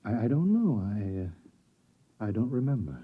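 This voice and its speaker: A man's voice: lowish voice, big pitch swings, unhurried, husky, heavily acted. An actor playing an odd, courteous older man in a 1950s radio drama. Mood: dazed, grateful. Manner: theatrical, talkative, courteous. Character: odd, genial old man claiming to be banished alien. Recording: muffled, band-limited 1950s radio recording.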